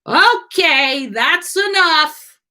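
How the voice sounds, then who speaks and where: A woman acts out an annoyed young schemer in a storybook tale. He is defensive and emphatic, his indignant voice pitched up. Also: expressive, drawn-out words, pushed and bright, heavily acted.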